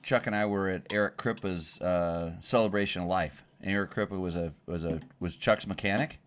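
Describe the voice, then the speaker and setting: A middle-aged man hosting a relaxed conversation, friendly and prone to stumbling restarts. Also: deep voice, a little fast. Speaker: host. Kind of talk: casual chat.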